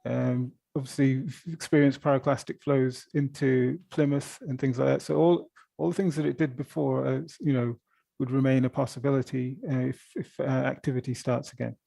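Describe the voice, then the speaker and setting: A man's voice: medium pitch, little pitch movement, normal speed, creaky, dark tone, no echo. A man speaking as an expert at a press conference, explaining things off the cuff in a hesitant way with repeated words. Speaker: volcano observatory director answering press questions. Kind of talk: spontaneous press conference answers.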